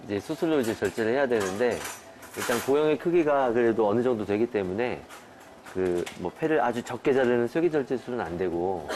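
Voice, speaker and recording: A man's voice: medium pitch, darkish tone, slight background noise. A man speaking as a doctor, giving a technical explanation in an interview. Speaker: thoracic surgeon.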